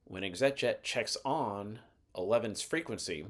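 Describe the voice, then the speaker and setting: A man's voice: lowish voice, unhurried, a bit bright. A man giving scripted instructional narration as the host of an explainer video, in a methodical, explanatory manner. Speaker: host of an aviation explainer channel.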